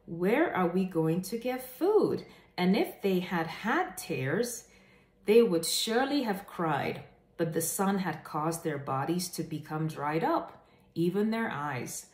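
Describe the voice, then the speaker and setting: A woman with a slightly low voice presents stories for children in an echoey room. Her delivery is expressive and deliberate, leaning on key words as a storyteller does when reading aloud to an audience on camera.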